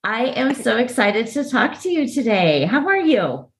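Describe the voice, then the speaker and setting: A woman's voice: on the high side, sing-song, a little slow, slightly rough. A woman hosting an interview podcast, introducing a guest and asking questions.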